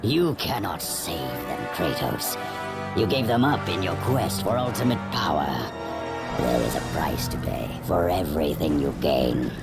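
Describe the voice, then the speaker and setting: An actor playing a wrathful, domineering god of war in a video game, speaking with grandiose, taunting menace. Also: male voice, low voice, sing-song, unhurried, loud music behind the voice, exaggerated voice. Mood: cold menace. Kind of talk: dramatic video game acting.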